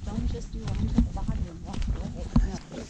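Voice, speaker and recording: A woman's voice: medium pitch, a little fast. A woman making short, chatty remarks while hiking on a forest trail. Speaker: vlogger hiking companion. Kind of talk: casual chat while hiking. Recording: loud outdoor noise on camera mic.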